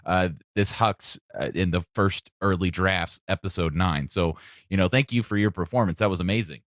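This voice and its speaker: A man's voice: sits low, normal speed, no room sound, clean studio sound. A man hosting a podcast discussion with guests, talking them through questions and commentary. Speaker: podcast host.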